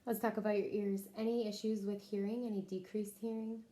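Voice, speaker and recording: A woman with a soft, mellow voice works through a clinical intake interview as a nurse would. Her pitch sits in a middle range. There is faint noise behind her. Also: flat delivery, brisk. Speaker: nurse interviewer taking a health history.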